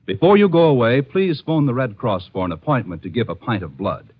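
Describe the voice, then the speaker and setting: A man's voice: medium pitch, very animated, brisk, husky. An American man announcing formally and carefully in the style of 1950s network radio. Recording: muffled 1950s radio recording.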